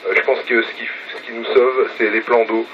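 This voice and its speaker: A man's voice: medium pitch, level tone, fairly quick. A man chatting casually as a radio operator, enthusiastic and repeating words for emphasis. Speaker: amateur radio operator filming his station. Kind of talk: casual radio contact chat. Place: at home beside radio set. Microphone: phone filming, off the mouth. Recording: dull, very noisy phone video.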